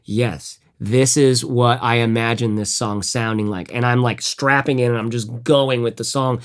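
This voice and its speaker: A man's voice: steady tone, normal speed, smooth. A man reviewing headphones in a loose, rambling chat. He swears freely, restarts his sentences and hums out bits of music to make his point. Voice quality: fairly high voice. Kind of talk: casual gear review chat.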